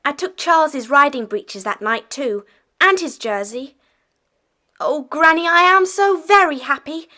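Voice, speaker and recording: A woman's voice: high-pitched, animated, unhurried, sharp tone, exaggerated voice. A woman playing an earnest, affectionate runaway schoolgirl in an audiobook dramatization. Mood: happy, eager.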